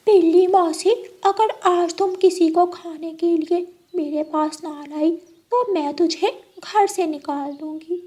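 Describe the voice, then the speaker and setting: Greedy female fox, kids' animation woman performer: very high-pitched, bossy, demanding. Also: steady tone, takes it slowly, mellow. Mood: threatening. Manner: demanding, threatening. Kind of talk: children's animal cartoon voice acting.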